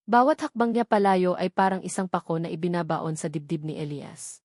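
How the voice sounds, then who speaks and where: A woman's voice: lowish voice, fairly even intonation, brisk, darkish tone, no echo, studio quality. A woman narrating a dramatic love story as a scripted audiobook.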